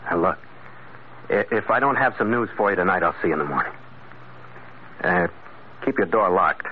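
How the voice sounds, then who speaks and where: An actor playing a tough, wry sea captain sleuth in a classic radio detective drama, clipped and sardonic. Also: male voice, low-pitched, animated, moderate pace. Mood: calm, reassuring. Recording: noisy vintage radio broadcast, muffled.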